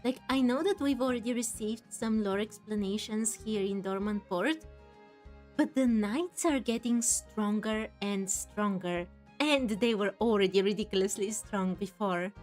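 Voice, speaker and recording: A woman's voice: medium pitch, measured pace, a little mellow. A woman giving casual let's-play commentary as she hosts a gaming video. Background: game music.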